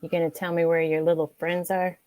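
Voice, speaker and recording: A woman playing a menacing older crime-boss mother in an amateur script read, making slow threats. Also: on the low side, little pitch movement, mellow, no echo, natural voice.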